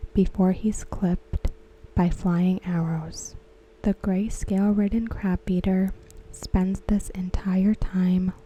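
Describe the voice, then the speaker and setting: A woman whispering softly and slowly as she recaps and discusses a TV episode for an ASMR video. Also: medium pitch, slight background noise, poor audio. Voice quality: breathy. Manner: slow, soft whispering. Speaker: ASMR creator. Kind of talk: soft-spoken ASMR recap.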